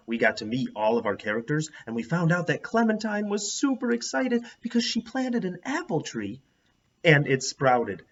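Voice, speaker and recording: A man's voice: mid-range voice, slightly rough. A man reading a children's story aloud as a teacher would, expressively, stressing and drawing out words. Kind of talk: animated children's read-aloud narration. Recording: dull, lo-fi sound.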